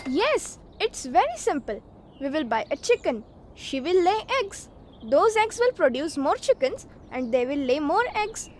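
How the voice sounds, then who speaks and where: A woman voicing an eager, boastful young boy with get-rich schemes in an animated children's story. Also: sits high, measured pace, a little hiss or hum. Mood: excited, scheming. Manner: excitable, boastful.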